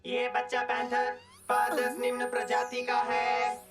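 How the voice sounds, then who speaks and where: A woman voicing a helpful, factual robot companion that announces things in a children's animated comedy dub. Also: on the high side, a little slow, close to an everyday voice. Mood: matter-of-fact. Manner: announcing, matter-of-fact. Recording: loud music behind the dialogue.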